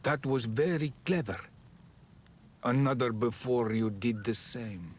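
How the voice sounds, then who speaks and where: An actor playing a wise, solemn elder of an island tribe in a fantasy game, speaking in a measured, ceremonial way. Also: male voice, medium pitch, close to an everyday voice. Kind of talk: fantasy video game cutscene acting.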